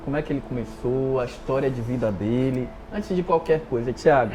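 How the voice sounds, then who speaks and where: A man's voice: medium pitch, brisk, warm tone, a little hiss or hum, poor audio. A man hosting a talk show, presenting and asking guests questions in a conversational way.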